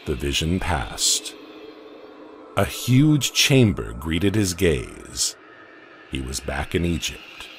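A man narrating a horror audio comic in a dramatic, measured storytelling manner. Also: a bass voice, wildly swooping pitch, vocal fry, slight background noise. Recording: music bed underneath.

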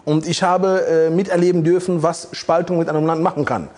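A man's voice: a little high, steady tone, brisk, a little mellow. A man in his forties from Congo, a guest in a studio interview, talking bluntly with many hesitations on a lapel mic. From Democratic Republic of the Congo. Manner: blunt, provocative, frequent hesitations. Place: studio set with red curtains.

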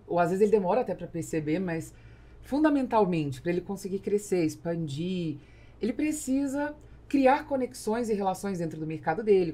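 A woman's voice: mid-range voice, mellow. A middle-aged woman with a Brazilian accent interviewing conversationally as a podcast host, close to the microphone in a small studio. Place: small studio with acoustic foam, indoors. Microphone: close podcast microphone on boom arm.

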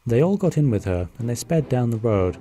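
A storybook narrator for a cartoon radio play, telling the scenes plainly and evenly. The voice sits at a middle pitch. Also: big pitch swings, a little fast, warm tone, faint music behind.

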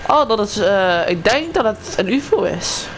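A man's voice: high voice, big pitch swings, a little slow. A man chatting casually in improvised video game roleplay, acting as a guide to a newcomer. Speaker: roleplay player guiding a newcomer. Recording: clear game noise behind the voice.

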